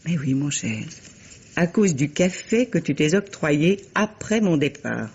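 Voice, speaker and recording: Clever wife, husky female voice, assured, articulate, ironic, muffled 1950s radio mystery. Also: low-pitched, expressive, measured pace, a little mellow, full character voice. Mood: explaining. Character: composed, clever wife who survived her poisoner. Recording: dull vintage radio recording.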